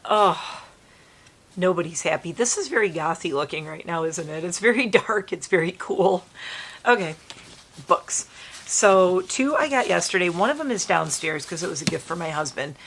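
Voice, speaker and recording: A woman chatting casually to camera from her bed, hoarse and stuffy from allergies, coughing now and then, rambling and apologetic. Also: on the low side, a bit bright. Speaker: vlogger. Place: bedroom at home, dim light. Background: crinkling dog toy, faint noise.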